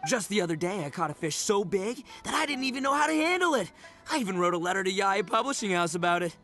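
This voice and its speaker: An actor playing a boastful, excitable young man obsessed with fishing in an anime game, bragging emphatically. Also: high-pitched, fast talker, faint music behind, exaggerated voice.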